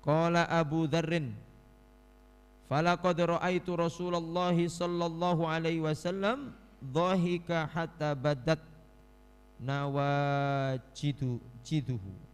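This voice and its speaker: A man with a Javanese accent giving a religious study lecture, reading Arabic text and explaining it in a relaxed, chatty way to his audience, often clearing his throat. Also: on the high side, slow, big-room sound. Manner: mixes Arabic recitation with casual explanation, addresses audience as Bapak Ibu. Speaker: ustadz teaching a hadith book.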